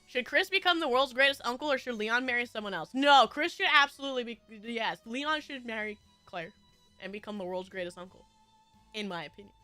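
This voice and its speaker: A bright, high-pitched woman with a stuffy nose chats with her viewers. Her voice swings all over the place as she rambles and breaks into giggles. Faint music plays under a dry, close sound. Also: lively intonation, brisk, smooth, dry recording. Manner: bubbly, rambling, frequent giggles. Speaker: streamer. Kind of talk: casual chat with viewers.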